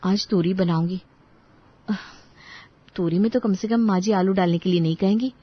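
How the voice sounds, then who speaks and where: A woman playing a polite but exasperated young daughter-in-law in a dramatized story, expressive in the way she speaks. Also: medium pitch, moderate pace, lo-fi sound, natural voice. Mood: fed up. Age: young adult.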